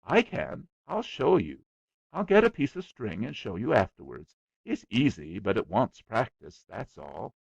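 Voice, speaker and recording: A man's voice: on the low side, very animated, unhurried, creaky, no room sound, dull audio, full character voice. A man voicing a stubborn, boastful small boy who chatters eagerly. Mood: eager boasting.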